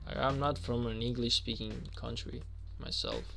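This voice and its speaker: A man's voice: medium pitch, steady tone, normal speed, gravelly, over music. A young man from Albania, chatting casually on a game stream and answering viewer questions with lots of fillers. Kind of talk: casual live-stream chat.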